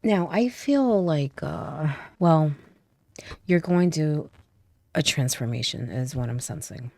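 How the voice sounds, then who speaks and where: A woman's voice: sits low, animated, takes it slowly, creaky, warm tone, dry recording. A woman doing a casual tarot reading, a little stuffy from a cold, chatty and often checking in with "okay?" and "right?". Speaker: tarot reader.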